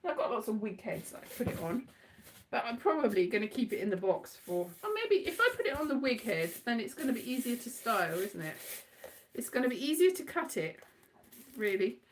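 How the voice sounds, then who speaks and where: A woman's voice: on the high side, sing-song, a little fast, slight background noise. A woman chatting in a casual, rambling monologue, with a scatterbrained, giggly, self-deprecating manner. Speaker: performer and host, former journalist.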